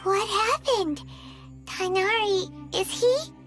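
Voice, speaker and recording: An extremely high, squeaky little fairy girl, eager and drawn-out in her delivery. She is a game companion speaking over loud music. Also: animated, full character voice. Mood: concerned. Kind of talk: video game voice acting.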